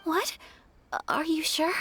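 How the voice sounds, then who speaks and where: An actress playing a shy, polite young noblewoman in a video game, formal and gently hesitant. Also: extremely high pitch, level tone, slow pace, slight background noise, full character voice. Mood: polite, curious.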